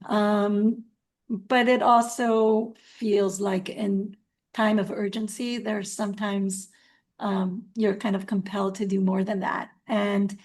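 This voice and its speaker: A woman's voice: mid-range voice, little pitch movement, takes it slowly, darkish tone. A woman from Israel, speaking thoughtfully as a community organizer in a webinar, with frequent ums. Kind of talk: webinar talk. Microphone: video call.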